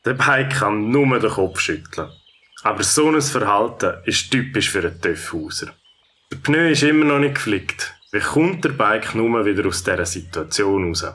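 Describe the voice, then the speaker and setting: Low, bright Swiss German male narrator. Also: animated, normal speed. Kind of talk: scripted children's story narration.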